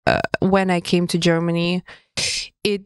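Russian-born woman in her 30s, lowish smooth voice, dry studio mic, lots of ums and little laughs. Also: little pitch movement, a little slow, no room sound. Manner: thoughtful, hesitant, laughs often. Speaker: podcast guest, photographer. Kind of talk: casual interview conversation. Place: studio room with table. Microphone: studio mic with headphones.

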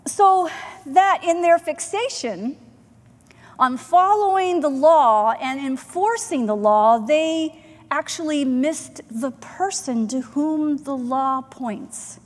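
A middle-aged woman pastor preaches at a slow, unhurried pace, her voice sitting a little high. She speaks in a measured, earnest way with deliberate pauses, heard through a pulpit mic. The church sanctuary carries a long, lingering reverb. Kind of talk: sermon. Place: church sanctuary, in front of the chancel. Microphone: pulpit microphone, far camera.

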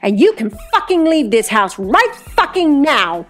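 A woman voicing a tipsy, feisty, dramatic woman in an audiobook, emphatic, exclamatory and quick to outrage. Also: high voice, very animated, faint music behind, pitched above the performer's own voice. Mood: furious. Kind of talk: audiobook character dialogue.

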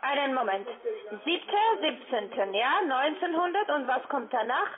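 Female telephone agent, high voice, non-native accent, formal halting sales script, tinny phone line. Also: expressive, a crowd in the background. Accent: foreign. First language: not German. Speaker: telephone sales account manager. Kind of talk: scripted cold-call sales talk.

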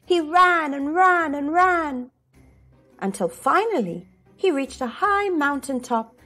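A woman reading a children's storybook aloud as host, expressively and with deliberate enunciation. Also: sits high, lively intonation, pushed and bright, soft background music.

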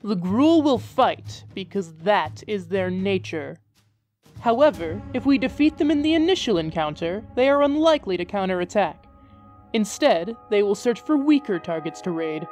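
A woman voices an impassive angel commander in a fantasy audio drama. She is stern, formal and deliberate, mid-pitched, with light music behind her. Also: big pitch swings, a little mellow, close to an everyday voice. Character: imperturbable, stern female angel war leader. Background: background music.

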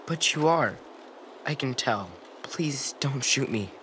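A man voicing a timid, guilt-ridden gentle young boy in a video game, hesitant and apologetic. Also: medium pitch, expressive, takes it slowly, slight background noise. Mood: anxious. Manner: hesitant, soft-spoken, apologetic. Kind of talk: naturalistic video game dialogue acting. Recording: clear outdoor stream ambience.

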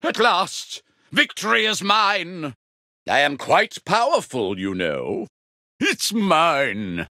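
A man's voice: a little high, big pitch swings, slow, sharp tone, dry recording, clean studio sound, heavily acted. A man voicing a grandiose, greedy, scheming villain for a video game, imperious and theatrically polite. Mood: triumphant. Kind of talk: video game character voice clips.

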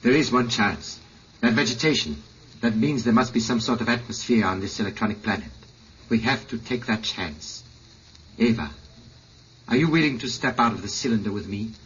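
An actor playing a grave, devoted husband stranded in a strange world, earnest and dramatic in 1950s radio style. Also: male voice, fairly low pitch, animated, moderate pace, fairly bright tone. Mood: grave resolve. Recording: muffled, slightly noisy old radio recording.

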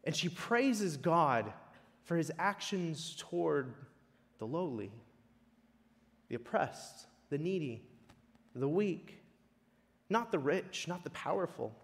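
A man preaching a sermon in a measured way, leaning on emphatic repetition. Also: a little high, very animated. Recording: long reverberant hall echo.

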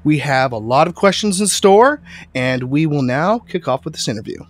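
A young man co-hosting a podcast interview, congested while recovering from an illness, speaking haltingly with fillers into a headset microphone in a home studio. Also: fairly high pitch, animated. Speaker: podcast co-host.

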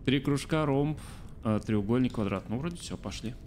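A man's voice: mid-range voice, normal speed, a little mellow, slight background noise. A man in his thirties streaming a game from a small room, chatting casually, thinking aloud and answering his chat. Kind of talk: casual let's-play commentary. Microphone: studio mic on arm by face.

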